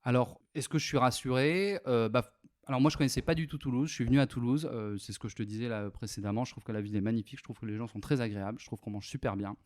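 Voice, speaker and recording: A French-born man in his thirties, guest on an interview podcast, talking in a lively, rambling way with many fillers. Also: mid-range voice, fast talker. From France. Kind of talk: casual interview chat.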